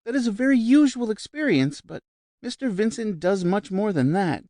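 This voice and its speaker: A man voicing a vivacious, shrill middle-aged society woman who gushes about spirits, raised high and loud above his own voice. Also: animated, normal speed, no echo, clean studio sound. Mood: lecturing.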